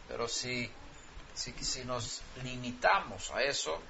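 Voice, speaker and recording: A man's voice: medium pitch, slow pace, vocal fry, noisy background. A man whose first language is Swedish, preaching a sermon and pressing his point with rhetorical questions. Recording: dull lo-fi sound, faint ambience.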